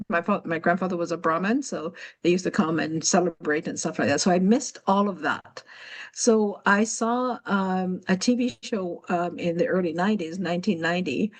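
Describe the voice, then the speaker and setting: A woman's voice: on the low side, moderate pace, low-quality recording. A woman who grew up in Jamaica, chatting casually on a video call and telling a personal story with frequent fillers. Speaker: participant asking a question. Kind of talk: casual online meeting chat.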